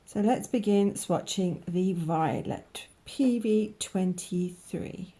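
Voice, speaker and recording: A woman with a croaky voice from a cold, slowly musing aloud in a soft-spoken way as she tries out paints, sometimes dropping to a whisper. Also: on the low side. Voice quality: croaky, gravelly. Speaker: art vlogger. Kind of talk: casual voice-over while swatching paints.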